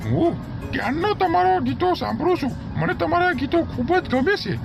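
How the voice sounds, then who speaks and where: Cartoon jackal: very high, creaky voice, sly and flattering, coaxing sweetly. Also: male voice, normal speed, music in the background, heavily acted. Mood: sly flattery. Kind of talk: cartoon animal fable voice.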